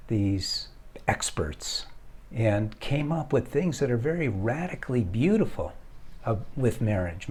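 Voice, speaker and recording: A middle-aged man, founder of a marriage foundation, giving advice straight to the viewer in a deliberate way. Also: medium pitch, darkish tone. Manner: slow, deliberate, addresses the viewer directly. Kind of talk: direct-to-camera advice talk.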